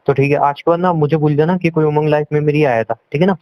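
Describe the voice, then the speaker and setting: A dull, lo-fi phone recording of a young man in a heated argument. His voice sits fairly high, and he scolds and accuses, circling back over the same points. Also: fairly even intonation, quick pace. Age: young adult. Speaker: boyfriend on a phone call. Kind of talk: heated relationship argument in casual Hindi-English talk. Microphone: phone call.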